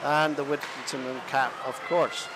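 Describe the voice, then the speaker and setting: A man giving live play-by-play commentary on an ice hockey game, chatty and self-deprecating, sometimes pausing to find his words. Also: medium pitch, fairly even intonation, very noisy. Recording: music clearly audible behind the voice. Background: music.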